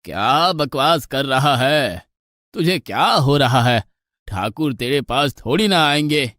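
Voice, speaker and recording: Man playing a stern, skeptical guru, mid-pitched. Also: expressive, takes it slowly, pushed and bright, no echo, studio quality. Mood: scolding. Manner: scolding, authoritative. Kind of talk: animated moral-story acting.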